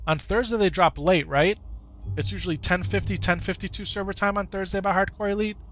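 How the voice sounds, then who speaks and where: A man's voice: high voice, fairly even intonation, fairly quick, fairly bright tone, lo-fi sound, a little hiss or hum. A man with an Upper Midwest American accent, chatting casually to his viewers during a live game stream and often repeating himself. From Wisconsin, United States. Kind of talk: casual live-stream chat over gameplay.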